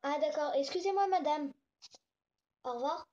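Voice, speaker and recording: Young girl, very high voice, casual and slangy prank caller thinking aloud, muffled lo-fi home recording. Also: normal speed, a little mellow. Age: child or young teen. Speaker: host making prank calls. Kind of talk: casual chat and prank phone calls.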